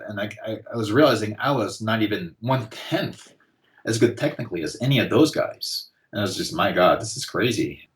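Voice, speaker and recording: A man in his forties, a guest artist chatting casually on a podcast, relaxed and rambling with lots of fillers. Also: on the low side, poor audio. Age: 40s.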